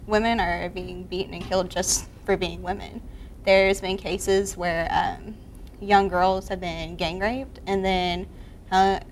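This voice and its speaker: A woman's voice: fairly low pitch, low-quality recording. Young woman, charity run organizer, earnest interview delivery, mid-range voice, faint room hiss. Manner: earnest, with ums and uhs.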